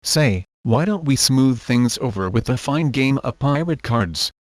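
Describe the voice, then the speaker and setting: A sly pirate rogue acted by a man drawls at a slow, unhurried pace in a theatrical pirate brogue. He swaggers and wheedles like a cartoon game villain, recorded close and dry. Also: mid-range voice, lively intonation, dry recording, close to an everyday voice. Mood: sly, bargaining. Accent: stereotypical pirate brogue. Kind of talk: video game character acting.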